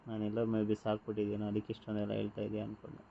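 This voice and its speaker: A man's voice: fairly low pitch, completely flat delivery, racing, creaky. A man on a phone call, resigned and sarcastic in an argument. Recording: dull phone call with faint hiss.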